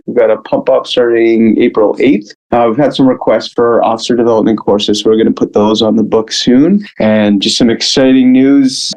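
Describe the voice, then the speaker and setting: Regular guy rattling off course dates, casual and quick. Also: mid-range voice, a little mellow, poor audio. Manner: quick, informal. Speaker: fire academy instructor. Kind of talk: promotional announcement.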